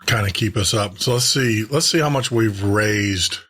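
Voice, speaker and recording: A man's voice: on the low side, moderate pace, a bit bright, breathy edge. A man hosting a radio show and podcast, talking to listeners in a casual, rambling, chatty monologue.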